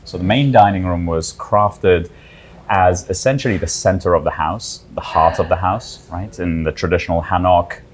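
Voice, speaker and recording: Very deep-voiced designer explaining a room. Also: male voice, husky. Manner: fluent, descriptive, many "kind of" fillers.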